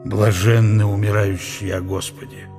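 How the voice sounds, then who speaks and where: An actor playing an ailing, aging scholar who muses bitterly and proudly on his country in a radio drama, in a husky, deliberate voice. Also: on the low side, little pitch movement, a little mellow, lightly acted. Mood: solemn. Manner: deliberate, weighty, philosophical musing. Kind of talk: radio play inner monologue. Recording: music behind the voice.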